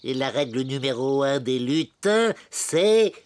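A man's voice: medium pitch, lively intonation, unhurried, darkish tone, slight background noise, close to an everyday voice. A man playing a sly, swooping cartoon goblin. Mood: gleeful scheming. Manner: scheming, theatrical. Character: sly, bossy, mischievous male goblin schemer. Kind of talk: animated children's cartoon dubbing.

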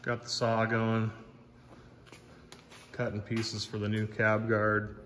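A man's voice: lowish voice, monotone, slow. A man in his thirties, a fabrication shop owner, narrating a casual shop vlog in a blunt, wry, plain-spoken way with some casual swearing. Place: large metal fabrication shop indoors. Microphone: camera mic, often across the shop. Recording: echoey shop, clear background noise.

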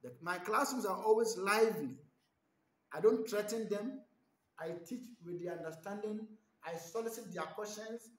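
A man's voice: sits high, moderate pace. A man in his thirties from Ghana with a Ghanaian English accent, introducing himself as a teacher in an earnest, emphatic way. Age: 30s. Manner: earnest, emphatic, listing repetitively. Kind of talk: self-introduction video for job applications. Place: large indoor hall with stacked chairs. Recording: echoey room.

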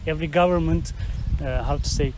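A man's voice: on the high side, brisk. Hesitant man, outdoor street noise. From North Macedonia. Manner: hesitant, searching for words. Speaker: interviewee. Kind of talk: street interview answers.

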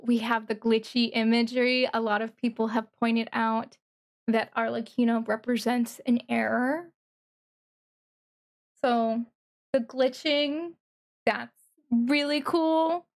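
Slow-talking woman, casual commentary. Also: sits high. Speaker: streamer reacting to a game trailer.

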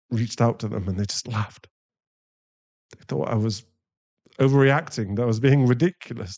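A man playing a distraught, guilt-ridden werewolf boyfriend in an intimate ASMR roleplay, halting and pleading. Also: on the low side, warm tone, husky, muffled recording. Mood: sad, ashamed. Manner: halting, pleading, emotionally fragile.

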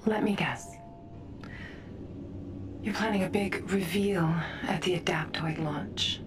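A woman's voice: fairly low pitch, slow pace. An actress playing a menacing, fanatical female scientist villain in a game, taunting and theatrical. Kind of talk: dramatic game villain acting. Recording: distant, very noisy footage playback.

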